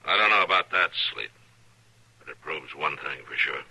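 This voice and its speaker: A stern frontier marshal in a vintage radio western. The voice is extremely low and husky, with a hard, forceful edge. He speaks in few words, gravely and deliberately. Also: expressive, full character voice, poor audio. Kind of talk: old-time radio western acting. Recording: vintage radio drama recording.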